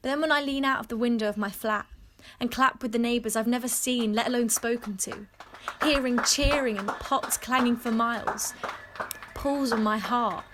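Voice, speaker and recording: Lonely woman played by an actress, earnest reflective video diary, voice a little high. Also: normal speed, noisy background, lightly acted. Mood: tender, hopeful. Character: lonely but hopeful woman living alone in lockdown. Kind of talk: acted reflective diary monologue.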